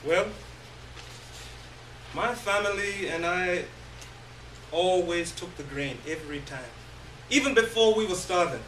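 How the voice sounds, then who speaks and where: A man giving a theatrical, halting first-person reading of a literary story on stage. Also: high voice, fairly bright tone. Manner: theatrical, halting, with long pauses. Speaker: reader of a short story. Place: live indoor stage reading. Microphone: distant microphone. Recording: roomy, distant stage recording, faint noise.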